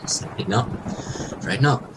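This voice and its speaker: A man's voice: moderate pace, noisy background, lightly acted. A man playing an anxious, hesitant man worried for a missing friend in an audio drama. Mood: distraught.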